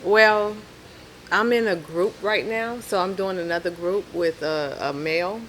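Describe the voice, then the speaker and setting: A woman's voice: lowish voice, a little slow, fairly bright tone, poor audio. New Orleans-accented woman chatting over background noise. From New Orleans, Louisiana. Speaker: podcast guest, rapper. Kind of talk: casual interview chat.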